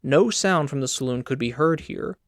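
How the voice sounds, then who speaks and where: A man's voice: medium pitch, normal speed, dry recording, studio quality. A man reading scripted literary narration as an audiobook narrator.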